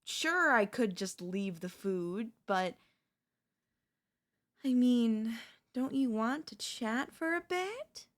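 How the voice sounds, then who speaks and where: A woman's voice: a little high, expressive, slow pace, long pauses. A woman voicing a chatty, falsely friendly female zombie in a roleplay audio, coaxing the listener in a wheedling, conversational way with hesitant slips. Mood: pushy, wheedling.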